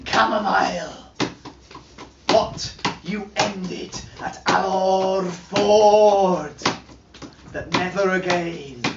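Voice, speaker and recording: A storyteller giving a dramatic, incantatory poetry reading, theatrical in delivery, swinging between hushed passages and loud cries. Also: medium pitch, heavy background noise. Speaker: storyteller performing a charm. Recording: echoey, dull distant live recording, loud drumming. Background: loud drumming.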